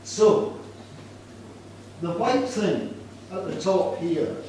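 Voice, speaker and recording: A man's voice: high voice, lively intonation, very slow, dark tone. A Scottish man in his sixties speaking informally to students about a drawing he made, self-mocking and asking the audience questions. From Scotland. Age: 60s. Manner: self-deprecating, chatty, asks the audience questions. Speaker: storyteller presenting his artwork. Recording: echoey room, distant mic, background noise.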